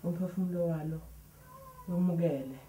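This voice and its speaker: A woman's voice: lowish voice, steady tone, a little fast, far from the mic, slight background noise. A woman healer chanting a ritual prayer, recorded from afar in an echoey room. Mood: prayerful. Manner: chanting invocations, softly guiding.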